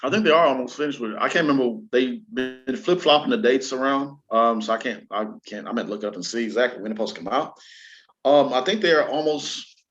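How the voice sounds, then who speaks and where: Man, show host, animated slangy announcer delivery, casual entertainment chat, lo-fi sound, music underneath. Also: mid-range voice, brisk. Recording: dull lo-fi audio, music underneath.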